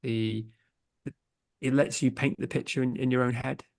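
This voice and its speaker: A man's voice: medium pitch, flat delivery, normal speed, gravelly, darkish tone. A young man with an English accent, a podcast producer chatting as a guest in a casual interview, hesitant, with many fillers and restarts. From England.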